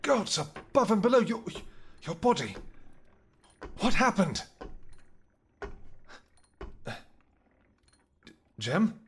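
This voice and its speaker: A man playing a tender, protective vampire king in a roleplay audio drama, speaking formally and earnestly, with halting phrases. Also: sits high, big pitch swings, a little hiss or hum, exaggerated voice. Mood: alarmed, commanding.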